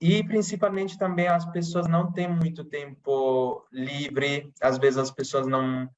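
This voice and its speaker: A man from Italy speaking with an Italian accent, chatting casually in a podcast interview with many pauses and restarts. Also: fairly high pitch, little pitch movement, darkish tone, muffled recording, a little hiss or hum. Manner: hesitant, many restarts and fillers. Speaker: interviewee, channel host.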